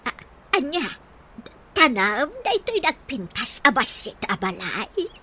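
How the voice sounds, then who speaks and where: A woman's voice: on the high side, very animated, unhurried, pushed and bright, a little hiss or hum, full character voice. An actress playing a confused, forgetful old grandmother in a radio drama, hesitant and questioning. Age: older. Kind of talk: Ilocano radio drama acting.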